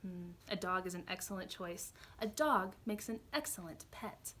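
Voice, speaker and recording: A woman reading a storybook aloud, voicing an eager-to-please male animal shelter keeper in a slightly lowered voice. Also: expressive, normal speed, bright, forceful tone. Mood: coaxing.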